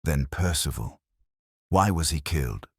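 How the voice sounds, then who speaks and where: Man doing a gruffly skeptical police inspector, very low voice, blunt, terse, bristling, detective audiobook. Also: lively intonation, measured pace, no room sound, studio quality, full character voice.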